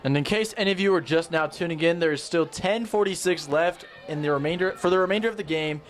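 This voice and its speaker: A man's voice: on the high side, quick pace, a faint crowd. Easygoing male color commentator. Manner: easygoing, analytical, laughs along. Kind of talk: live sports commentary. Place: press box at an outdoor stadium.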